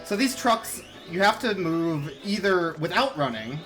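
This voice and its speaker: A man's voice: high-pitched, lively intonation, unhurried, poor audio. Joking male speedrunner over game music. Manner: casual, joking and sarcastic. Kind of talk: live speedrun commentary. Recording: game music playing behind.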